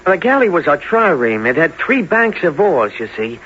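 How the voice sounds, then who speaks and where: A man's voice: on the high side, very animated, normal speed, a bit bright. An actor playing an earnest, haunted young man in a 1970s radio drama, hesitant and dramatic in his delivery. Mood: earnest, agitated. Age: young adult. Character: earnest, intense young man haunted by past-life memories. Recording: dull, noisy 1970s radio recording.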